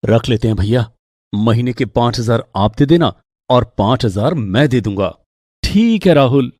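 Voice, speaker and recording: A woman's voice: rumbling low, lively intonation, unhurried, a little mellow, no room sound, studio quality, pitched below the performer's own voice, exaggerated voice. A woman voicing emphatic men of the household in a cartoon family drama. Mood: agreeable. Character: men of the household, husbands and hungry guest.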